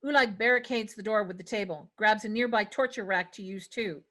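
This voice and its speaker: A woman's voice: medium pitch, fairly quick, a bit bright. A woman reading stage directions as narrator in a scripted fantasy table read.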